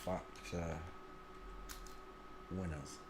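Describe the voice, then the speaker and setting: Slow, rambling man. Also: low-pitched, fairly even intonation, noisy background. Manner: mutters to himself while working, trails off into asides. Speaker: livestream host. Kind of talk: rambling live commentary on photos.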